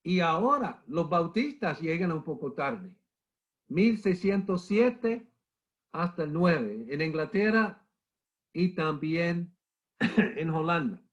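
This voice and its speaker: A man's voice: fairly high pitch, very animated, takes it slowly, pauses often. A middle-aged man with the flu giving an emphatic church history lecture, repeating key points and calling listeners brother. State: has the flu, coughing. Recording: muffled lo-fi recording.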